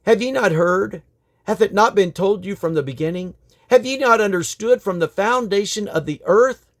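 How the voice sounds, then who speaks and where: A man's voice: high-pitched, normal speed. A man reading aloud from the King James Bible in a solemn, declamatory way, with emphatic rises and falls. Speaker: Bible reader.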